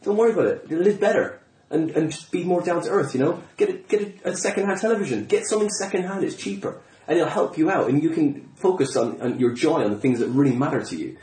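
A man's voice: a little high, animated, fast, a little mellow. A man chatting casually as an interviewer and stand-up comic, reflective and given to chuckling. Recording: roomy, echoey indoor sound.

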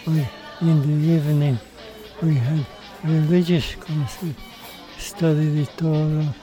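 An older man, telling his life story in an interview in a halting way, with many restarts and repeated words. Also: fairly high pitch, level tone, dark tone, soft background music. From Mexico, raised in Hungary. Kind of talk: recorded interview.

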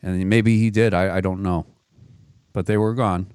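Low, smooth-voiced male radio host. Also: steady tone, normal speed, darkish tone, no echo, studio quality. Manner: interrupts to clarify, repeats words.

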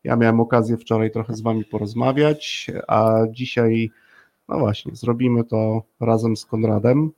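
Gawędzący mężczyzna, lekko skrzypiący głos. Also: male voice, mid-range voice, flat delivery, moderate pace, a little mellow. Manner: chatty, repeats phrases for emphasis. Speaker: host of a live talk show with guests. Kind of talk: casual, friendly co-hosted chat.

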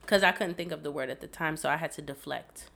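Congested lady hosting a podcast, giggly and rambly, kinda low voice but bright and forceful. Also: fast, creaky. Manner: chatty, giggly, rambling with fillers. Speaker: podcast host. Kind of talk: casual chat.

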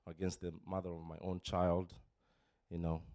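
A man in his forties from Kenya giving a personal testimony in church, halting with many fillers and restarts, emotional at times. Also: low voice, completely flat delivery, darkish tone, no echo, studio quality. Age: 40s. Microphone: handheld microphone.

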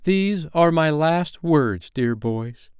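A man voicing a grand, firm, motherly English girl in a storybook, speaking in a measured way. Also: fairly high pitch, animated, darkish tone, clear, resonant tone, no room sound, clean studio sound, pitched above the performer's own voice.

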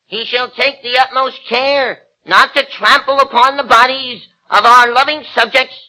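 A man's voice: extremely high pitch, fairly even intonation, normal speed, pushed and bright, full character voice, low-quality recording. A man playing a pompous, fussy little king in an old radio drama. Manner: pompous, fussy, easily flustered. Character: pompous tiny male king of Lilliput. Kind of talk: 1940s radio acting. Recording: old radio broadcast with music.

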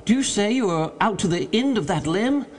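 A man voicing a domineering, excitable gentleman in an audiobook story, giving orders with urgent exclamations. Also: a little high, moderate pace, slight background noise, voice pushed up. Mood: excited, demanding. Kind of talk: dramatized audiobook character.

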